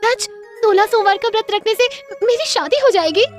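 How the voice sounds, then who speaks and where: A wistful, devout young village woman played by a female narrator in a cartoon tale, high-pitched and expressive, with soft music underneath. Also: moderate pace. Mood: hopeful. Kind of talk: cartoon story dialogue.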